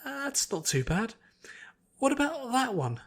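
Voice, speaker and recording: A man plays a shy young woman in a fantasy audiobook. The voice is pitched very high, hesitant and gentle, full of soft-hearted concern. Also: big pitch swings, a little slow, exaggerated voice. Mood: timid.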